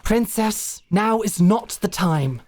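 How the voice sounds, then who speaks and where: A woman's voice: medium pitch, lively intonation, slow, darkish tone. A woman playing a proud, short-tempered knight in a fantasy audio drama, with a formal, clipped and tightly controlled manner. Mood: irritated, threatening.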